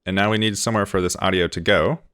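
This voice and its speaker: Male tutorial presenter, low register, informal and easygoing, explanatory, recorded close and dry. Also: steady tone, fast, a bit bright, clear, resonant tone, dry recording. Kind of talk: casual screen-recorded software tutorial.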